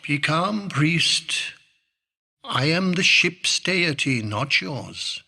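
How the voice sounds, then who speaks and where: A man's voice: on the high side, expressive, gravelly, bright, forceful tone, heavily acted. An actor playing a solemn, commanding male deity of an alien ship in a science fiction TV drama. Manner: slow, solemn pronouncements.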